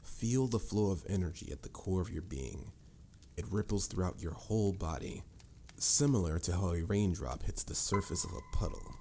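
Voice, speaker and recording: A man's voice: sits low, animated, normal speed, creaky, warm tone, a little hiss or hum. A man playing a confident, patient mage mentor in a fantasy audio roleplay, instructive and slightly formal in a theatrical way. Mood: calm, instructive. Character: confident adult male mage mentor, patient, boastful, encouraging. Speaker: voice actor. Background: music.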